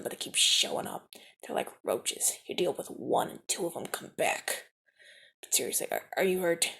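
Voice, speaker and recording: A man voicing a suave, smooth-talking mafia enforcer in an ASMR audio roleplay, teasing and dropping into soft whispers. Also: fairly high pitch, heavily acted. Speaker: mafia man rescuing a newcomer.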